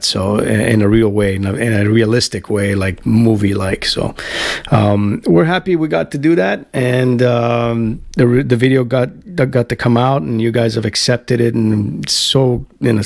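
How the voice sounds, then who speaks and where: A middle-aged man from Canada talks casually to camera from his home, his voice a touch low. He rambles loosely, full of fillers, restarts and casual swearing. The sound is dry and close, with no room echo. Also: a little mellow. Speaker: band vocalist hosting a web show. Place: home, Florida.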